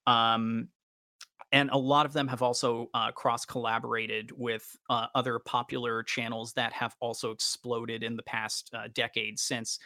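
A man co-hosting a casual podcast discussion, talking in a wordy, halting, enthusiastic way with frequent stammers. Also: mid-range voice, flat delivery, pushed and bright, dry recording, clean studio sound. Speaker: podcast co-host.

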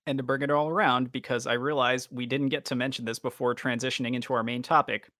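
A man co-hosting a podcast in casual chat, repeating words in a halting way as he goes. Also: mid-range voice, level tone, pushed and bright, no room sound, studio quality. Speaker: podcast co-host.

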